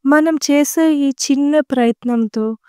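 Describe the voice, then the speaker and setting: A woman reads a children's story as its narrator. Her voice sits a little high and has a warm, relaxed tone. She phrases things simply for young listeners, in a dry, close recording. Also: takes it slowly, dry recording.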